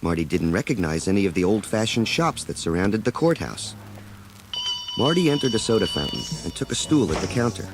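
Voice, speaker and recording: Animated low-voiced male storybook narrator. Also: a little fast, over music. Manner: dramatic, expressive storytelling. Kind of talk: scripted children's read-along narration.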